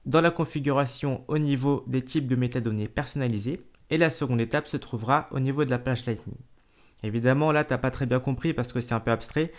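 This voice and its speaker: Casual man explaining software. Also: mid-range voice. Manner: casual, addresses viewer informally, frequent fillers and self-corrections. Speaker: tutorial host. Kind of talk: screen-recorded software tutorial.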